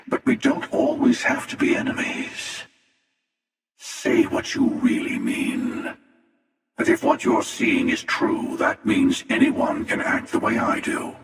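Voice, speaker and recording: An actor playing a smug, world-weary older hunter in a video game, with a gravelly voice, a measured sardonic delivery and an echoing reverb effect. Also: male voice, very high voice, full character voice. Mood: cool menace. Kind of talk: video game character dialogue. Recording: echoing long reverb, distant-sounding.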